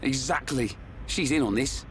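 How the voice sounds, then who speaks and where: A man voicing a blunt, loyal young fighter, gruff and colloquial, in a video game cutscene. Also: medium pitch, normal speed, slight background noise, close to an everyday voice. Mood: indignant.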